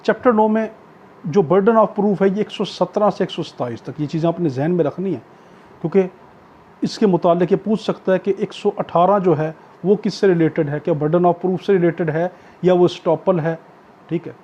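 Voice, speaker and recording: Male lecturer, Pakistani accent, high mellow voice, explanatory and repetitive, recorded on dull lo-fi audio. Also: normal speed, breathy edge. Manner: explanatory, repetitive, often checking with "okay". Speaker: law lecturer. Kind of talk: exam-prep law lecture with worked examples.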